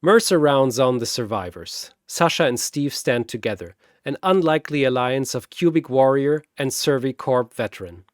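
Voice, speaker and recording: A man's voice: mid-range voice, lively intonation, moderate pace, few pauses, no room sound, studio quality. A man narrating a scripted battle story and announcing its fighters in a dramatic style.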